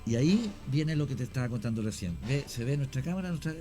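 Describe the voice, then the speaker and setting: A man, a radio guest slowly telling a paranormal story with many pauses. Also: medium pitch, expressive, over music. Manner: slow, halting storyteller. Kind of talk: radio talk show storytelling.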